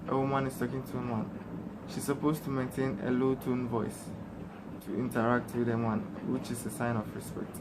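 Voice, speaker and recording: A young man from Ghana giving a steady, formal class presentation as a student. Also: medium pitch, noisy background. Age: young adult.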